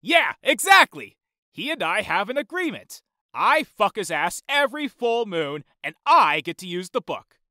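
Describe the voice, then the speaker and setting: A man's voice: sits high, big pitch swings, unhurried, bright, forceful tone, no room sound, studio quality, full character voice. A man voicing a brash, crude, sardonic demon imp boss in a cartoon audio drama. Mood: brash, flustered.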